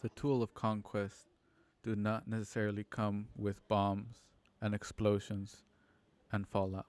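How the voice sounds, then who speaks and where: A man playing a grave, solemn narrator of an eerie radio play, speaking deliberately. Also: on the low side, little pitch movement, darkish tone, clear, resonant tone, close to an everyday voice. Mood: grave, warning.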